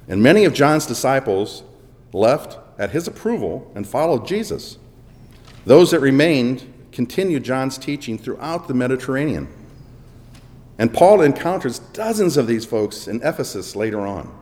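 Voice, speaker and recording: A man preaching a sermon with dramatic swings between booming emphasis and hushed asides, in a church. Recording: long echo of a large hall.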